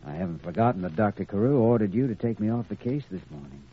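An actor playing an earnest, caring young doctor in a 1940s radio drama, with a measured, persuasive manner. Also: male voice, lowish voice, expressive, husky. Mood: resigned. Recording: muffled, noisy old radio recording.

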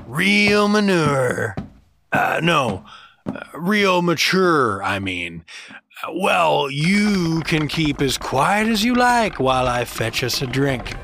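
A man's voice: fairly high pitch, very animated, slow, background noise, full character voice. A man playing a goofy, boastful, drunken adventurer in a fantasy audio drama, slurring and muddling his words. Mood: tipsy, cheerful.

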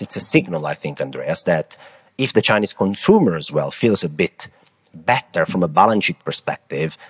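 A man with an Italian accent co-hosting a podcast, talking through economic data in a lively, emphatic way. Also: low voice, breathy edge. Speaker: podcast co-host. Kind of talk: casual market discussion.

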